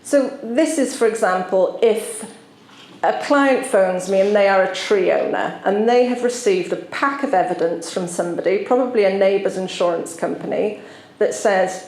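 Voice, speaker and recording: A woman from South Wales with a Welsh accent, giving a conference talk as a solicitor and explaining with frequent pauses. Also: medium pitch, vocal fry, darkish tone, reverberant, slight background noise, rough recording. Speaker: tree law solicitor presenting. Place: conference venue.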